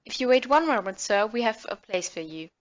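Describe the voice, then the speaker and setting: A woman's voice: fairly high pitch, expressive, fairly quick, close to an everyday voice. A woman playing an attentive café hostess in an audio play, courteous and eager to please. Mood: obliging. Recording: muffled audio, music behind.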